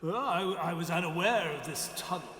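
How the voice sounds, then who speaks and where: An actor playing an earnest, bewildered doctor, polite and old-fashioned, in an audio drama. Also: male voice, high voice, normal speed, noisy background, natural voice. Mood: narrating, sober. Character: earnest, bewildered British doctor, gentleman narrator of adventures. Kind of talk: audio drama acting and scripted narration.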